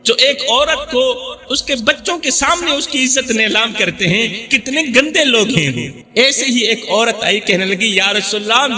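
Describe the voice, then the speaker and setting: Male Islamic preacher, high voice, dramatic exhortative sermon delivery with emphatic repetition. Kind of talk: dramatic Urdu devotional sermon.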